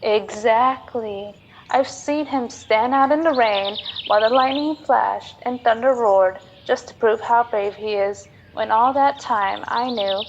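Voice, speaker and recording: A woman's voice: a little high, highs cut off, background noise. A young woman playing a minister's trusting daughter in an amateur radio drama, earnest and plain-spoken. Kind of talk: student radio drama acting.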